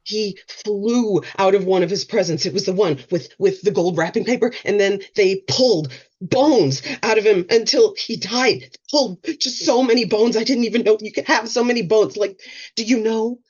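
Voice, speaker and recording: A woman's voice: mid-range voice, moderate pace, a little mellow, breathy edge, lightly acted. A woman playing a strung-out, paranoid twenty-something in a table read, rambling and repeating herself insistently. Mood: manic, insistent. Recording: slightly dull, muffled recording.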